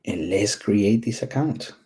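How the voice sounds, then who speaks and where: A man's voice: on the low side, measured pace, warm tone, breathy edge. A man with a thick Puerto Rican accent who talks through a coding build, casual and candid, thinking aloud with many ums and restarts. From Puerto Rico. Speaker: tech YouTuber. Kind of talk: screen-recorded coding walkthrough.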